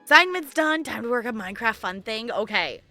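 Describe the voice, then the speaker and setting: A woman's voice: fairly high pitch, lively intonation, a little fast, sharp tone, faint music behind. A woman hosting a game livestream, chatting casually and thinking aloud in a rambling way as she plays. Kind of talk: casual chat while playing an online game.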